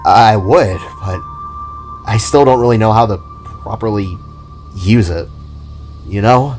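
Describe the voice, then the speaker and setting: A man's voice: fairly low pitch, a little slow, darkish tone, soft background music, lightly acted. A man voicing a shy, awkward young hero. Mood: hesitant. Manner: hesitant, nervous.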